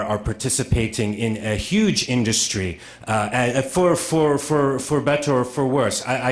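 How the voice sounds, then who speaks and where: A man giving a conference lecture, speaking haltingly and repeating words with many fillers, in a museum lecture room. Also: mid-range voice, reverberant.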